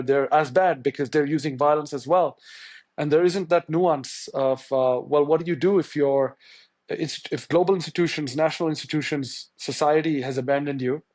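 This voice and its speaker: A man's voice: fairly high pitch, a little mellow, dry recording. Thoughtful male journalist, dry close sound. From India. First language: Tamil. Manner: thoughtful, searching, many restarts and fillers. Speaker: journalist guest. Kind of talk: podcast interview answer.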